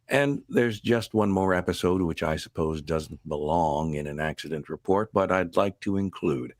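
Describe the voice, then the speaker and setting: A man playing a sober narrator of an accident report in a radio drama, measured and wry. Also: low-pitched, dry recording, studio quality.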